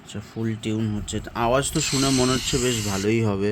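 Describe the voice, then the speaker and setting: A man's voice: on the low side, fast talker. A man, chatting casually and thinking aloud in short, informal remarks like a vlogger reviewing a product. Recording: clearly noisy, ambient and vehicle sound.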